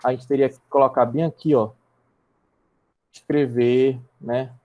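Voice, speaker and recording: A man's voice: medium pitch, steady tone, unhurried, phone-quality sound. A Brazilian man teaching a programming class online, explaining step by step and checking in with his students often. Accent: Brazilian Portuguese. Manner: repeats and restarts words while typing. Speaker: programming instructor. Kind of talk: live online coding lesson.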